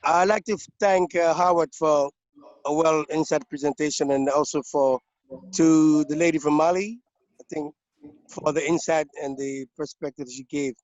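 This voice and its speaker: A man from Liberia speaking up in a webinar, making a long, halting comment full of restarts and repeated words over a video call. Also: a little high, fairly even intonation, a little mellow, no room sound. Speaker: webinar participant. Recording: dull, lo-fi video call audio.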